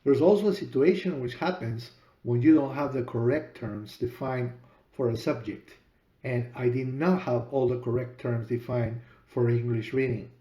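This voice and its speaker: Man, Spanish accent, native Spanish speaker, from Peru, middle-aged, podcast host, deliberate monologue, echoey room. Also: medium pitch, mellow. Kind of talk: storytelling monologue.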